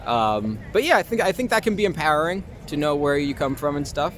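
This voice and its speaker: A man's voice: fairly high pitch, lively intonation, a little fast, fairly bright tone. A young American man answering casually in an interview, easygoing and hesitant as he thinks aloud. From Long Island, New York, USA. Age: young adult. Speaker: interview guest, actor and artist. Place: outdoors in a city, with traffic. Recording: noisy outdoor urban recording.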